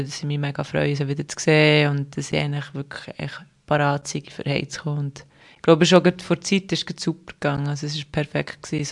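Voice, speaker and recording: Chatty Swiss German young woman. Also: deep voice. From Switzerland. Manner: chatty, rambling, many restarts and fillers. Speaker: podcast guest. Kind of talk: casual conversational interview.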